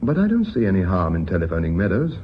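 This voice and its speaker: A man's voice: low-pitched, huge pitch swings, quick pace. An actor playing a shrewd, stubborn British company man in a radio drama, guarded and insistent. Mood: stubborn, earnest. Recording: dull radio recording, hiss, long reverb.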